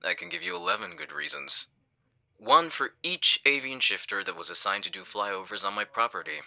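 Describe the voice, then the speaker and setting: Guy acting as a smug villain boss, super low creaky voice with a hard sharp edge, calm menace, audio drama. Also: exaggerated voice. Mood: smug, taunting. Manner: smug, deliberate, condescending. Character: smooth-talking male villain, calculating, menacing leader. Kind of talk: audio roleplay acting on a phone call.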